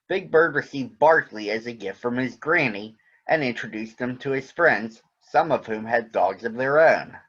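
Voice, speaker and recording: A man reads a picture book aloud for children. His voice sits at a medium pitch. The recording is a bit dull and lo-fi. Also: fairly bright tone. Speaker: children's story reader.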